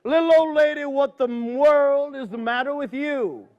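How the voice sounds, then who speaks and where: Man playing a confident fox, deliberate and unhurried. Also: very high voice, highs cut off, voice pushed up. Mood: reassuring. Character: helpful male fox, confident.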